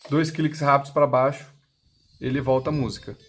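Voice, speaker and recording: A man's voice: medium pitch, flat delivery, a little fast, faint music behind. A man with a Brazilian Portuguese accent giving an unscripted, step-by-step product explanation in a plain, instructional manner. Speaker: intercom seller giving a product demonstration. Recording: clearly noisy recording with clicks.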